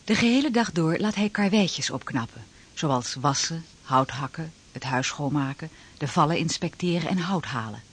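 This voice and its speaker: A man's voice: fairly high pitch, lively intonation, normal speed, hardly any pauses, a bit bright, breathy edge. A man narrating a radio drama from a script, telling the story in a dramatic style.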